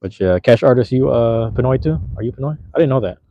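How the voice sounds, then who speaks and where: A man's voice: mid-range voice, animated, fast talker, gravelly, a little hiss or hum. Laid-back slangy man on a phone livestream. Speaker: livestream host. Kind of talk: casual live chat with viewers. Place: outdoors at night in a park, cold drizzle. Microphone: handheld phone. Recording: slightly dull lo-fi phone audio.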